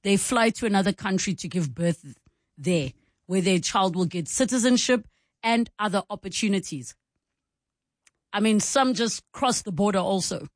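A woman's voice: mid-range voice, expressive, moderate pace, dry recording, studio quality. A woman hosting a live talk radio show, chatting in a conversational, reflective way. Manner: conversational, reflective, reads out phone numbers. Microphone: studio microphone.

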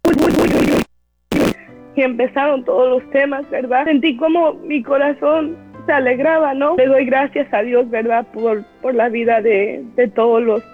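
Woman, heartfelt church testimony, halting with many restarts, phone-thin sound, soft music underneath. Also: sits high, fairly even intonation. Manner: earnest, halting, keeps adding "¿verdad?". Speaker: church member giving a testimony. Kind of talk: personal faith testimony. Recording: tinny phone-like line with faint music.

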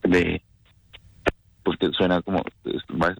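A man's voice: deep voice, little pitch movement, takes it slowly, creaky, husky. A man chatting casually and a little flirtatiously on a phone call, hesitant and often questioning.

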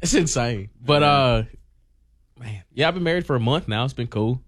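A young man co-hosts a radio show in an easygoing, animated way. He talks into a studio mic and keeps the banter loose. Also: mid-range voice, moderate pace, a loud crowd. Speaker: radio co-host.

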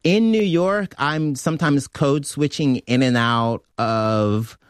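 Man, Southern American accent, comedian guest, playful and lively, dry close mic. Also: medium pitch, takes it slowly, clear, resonant tone, dry recording. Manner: animated, playful, slips into drawl. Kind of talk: casual interview chat.

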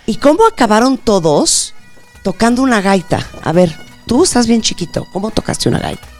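Mexican woman host, middle-aged, playful teasing, animated melody, faint music under. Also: a little high, moderate pace. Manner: teasing and exclamatory. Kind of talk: lively playful chat.

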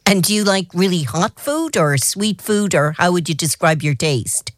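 A woman's voice: lowish voice, animated, normal speed, no echo, studio quality. A woman working as a radio news reporter, presenting a report and asking interview questions.

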